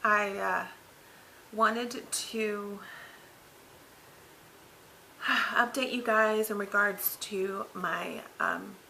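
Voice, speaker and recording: Raspy, gravelly woman rambling casually. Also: mid-range voice, lively intonation, very slow, long pauses, sharp tone, a little hiss or hum. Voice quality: raspy, voice cracks at times. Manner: rambling, filler-heavy, self-correcting. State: recovering from throat surgery, tired. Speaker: vlogger. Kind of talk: casual health update vlog. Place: living room, seated on couch by window.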